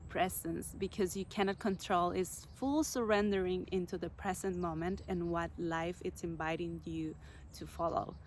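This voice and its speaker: A woman from Chile hosting a podcast, telling her personal story slowly and reflectively, pausing to search for words. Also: lowish voice, fairly even intonation, a little hiss or hum. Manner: slow, reflective, searching for words with soft pauses. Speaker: podcast host.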